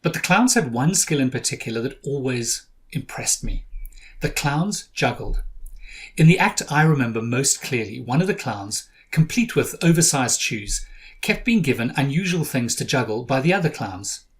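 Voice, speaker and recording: A man's voice: medium pitch, expressive, normal speed. A middle-aged man from South Africa hosting a podcast, narrating from a script with dry humor. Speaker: podcast host.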